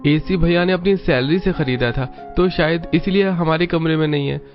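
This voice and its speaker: A man voices a mild young husband in a cartoon family drama. He sounds earnest and downhearted, fairly high in pitch, in slightly dull audio with music behind. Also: normal speed, close to an everyday voice. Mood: troubled. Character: dutiful, downhearted young married son.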